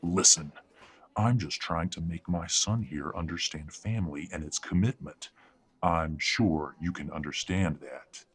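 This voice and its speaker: A man's voice: low-pitched, measured pace, fairly bright tone, breathy edge, full character voice. A man playing a proud, domineering older werewolf father in an audio drama, condescending and menacing.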